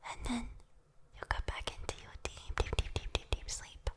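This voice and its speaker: A British woman voicing a sweet, teasing, doting demon girl in a soothing ASMR bedtime roleplay, whispering gently with coy endearments. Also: moderate pace, lightly acted. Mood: tender, reassuring. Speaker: ASMR voice performer.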